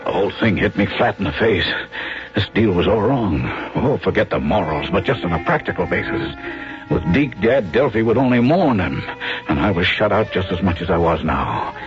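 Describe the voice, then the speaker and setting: A low-voiced, swooping wheeler-dealer agent. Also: male voice, expressive. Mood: tense, regretful. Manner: slangy, fast-talking salesman patter, confessional asides. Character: male wheeler-dealer talent agent, glib, guilt-ridden, lovestruck. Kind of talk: radio drama acting with first-person narration. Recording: dull radio recording with dramatic music.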